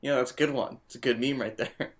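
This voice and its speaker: A man's voice: medium pitch, steady tone, fast talker. A young man co-hosting a podcast, cracking jokes, swearing casually and laughing often. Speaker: podcast co-host. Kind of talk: casual banter.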